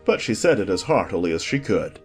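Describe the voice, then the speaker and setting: A man's voice: on the low side, very animated, brisk, breathy edge, soft background music. A man reading scripted storybook narration as the narrator of an audiobook.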